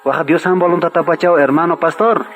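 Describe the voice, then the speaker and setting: A man's voice: a little high, fairly even intonation, brisk, fairly bright tone, faint music behind. An actor playing an agreeable, deferential churchman who repeats himself, in a radio drama. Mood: agreeable, eager. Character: deferential, agreeable church man, eager to help. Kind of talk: scripted radio drama dialogue.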